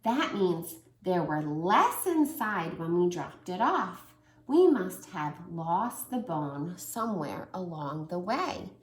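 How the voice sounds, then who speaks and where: A woman reading a calm grown man's lines from a children's storybook. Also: on the low side, takes it slowly, roomy sound. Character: calm grown man explaining to a monkey.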